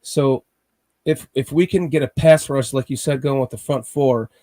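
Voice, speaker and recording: A man with a cold hosts a laid-back sports podcast, his voice a touch raspy. He talks in rambling runs, often restarting his sentences and fretting over games. His pitch sits at a middling level for a man. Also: fairly even intonation, moderate pace, warm tone. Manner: rambling, restarts often, nervous fan talk. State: has a cold, slightly raspy. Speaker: podcast host. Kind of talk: casual sports podcast chat.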